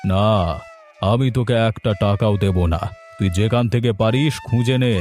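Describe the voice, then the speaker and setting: An unforgiving village headman played by a man from a cartoon folk tale. He speaks at a middling pitch, gruff, suspicious and quick to threaten. Soft music plays behind him. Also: fairly even intonation, lightly acted. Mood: dismissive. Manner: dismissive, threatening. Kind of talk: Bengali fairy-tale cartoon dubbing.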